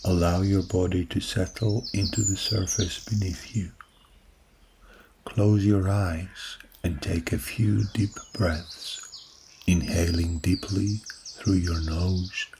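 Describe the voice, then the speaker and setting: Deep, slow, gravelly male meditation guide. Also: background noise. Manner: slow, soft, calm guiding delivery with long pauses. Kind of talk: guided yoga nidra body scan. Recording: birdsong clearly behind the voice. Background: recorded birdsong from woods.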